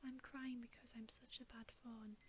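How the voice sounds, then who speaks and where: A woman's voice: fairly high pitch, little pitch movement, moderate pace, close to an everyday voice. A woman voicing a guilt-ridden, weepy male fawn in a whispered ASMR storybook reading. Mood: sorrowful, guilty. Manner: whispered, sorrowful, remorseful. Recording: faint background hiss.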